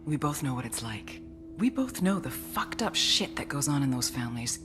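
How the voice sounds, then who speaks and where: A woman acting a tough, foul-mouthed intelligence officer in a video game cutscene, with a deliberate, hard-edged, menacing delivery. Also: extremely low pitch, big pitch swings, music in the background. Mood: cold, menacing.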